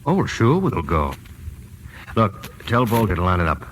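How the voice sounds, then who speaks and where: An actor playing a wry, brisk investigator husband in old-time radio drama, clipped and matter-of-fact. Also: male voice, deep voice, sing-song, a bit bright, heavily acted. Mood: businesslike. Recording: noisy old radio recording.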